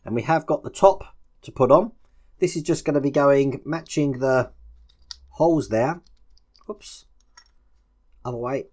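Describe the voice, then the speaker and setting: A man's voice: medium pitch, a little slow, pauses often, darkish tone. A man presents a model-building tutorial, informally talking through each step as he works. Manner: informal, narrating actions as he works. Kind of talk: hands-on step-by-step instructional commentary.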